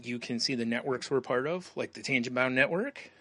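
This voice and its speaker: A man's voice: medium pitch, a little fast, bright, forceful tone, no echo. An American man hosting a podcast in a casual, loose, rambling way. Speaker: podcast host. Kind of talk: casual chat, show plugs.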